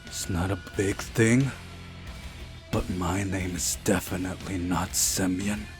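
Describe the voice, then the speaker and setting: A man's voice: sits low, steady tone, slow pace. A man reading a visual novel aloud as scripted narration, with game music behind him.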